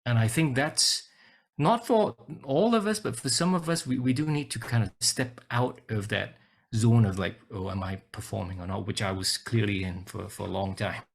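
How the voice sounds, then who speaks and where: A man's voice: on the low side, normal speed, vocal fry, slightly rough. A man from Singapore presenting a webinar over a video call, talking casually and hesitantly, often repeating his words.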